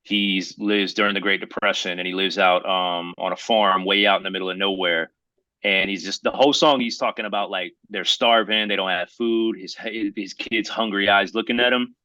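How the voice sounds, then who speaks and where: An American man in casual podcast conversation, animated and slangy, punctuating his points like he is talking to a buddy. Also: low-pitched, normal speed, no echo, poor audio. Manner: animated slangy talk, often saying "bro". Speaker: podcast co-host.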